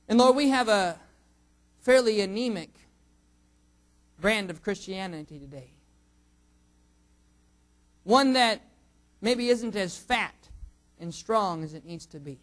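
A high-voiced younger man preaching from the pulpit. He teases himself and the room with food jokes, then leans hard on key words in sudden loud bursts, and slips into a prayer. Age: under 45. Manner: jokey, self-teasing, surging loud emphasis. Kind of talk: sermon with prayer.

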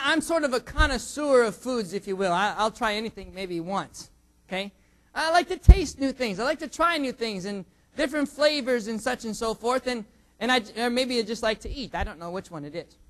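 A younger man preaching a sermon and leading a prayer, joking about food with sudden loud bursts of emphasis. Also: very high voice. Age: under 45. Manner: jokey, self-teasing, surging loud emphasis.

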